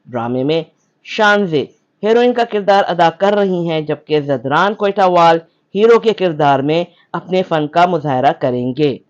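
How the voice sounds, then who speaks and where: Higher-pitched man reading news. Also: expressive. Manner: formal announcing delivery. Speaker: news reporter. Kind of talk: scripted news narration. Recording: dull lo-fi voice-over.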